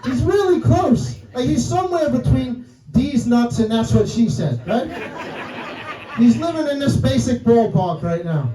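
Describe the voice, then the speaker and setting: Brash New York stand-up, high and mellow-toned, echoey club room, heard from afar. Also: male voice, lively intonation, unhurried. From New York City. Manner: brash, profane, keeps asking the crowd "right?" and "guys". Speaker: stand-up comedian. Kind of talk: stand-up set. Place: comedy club stage. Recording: roomy club sound from a distance. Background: audience laughter.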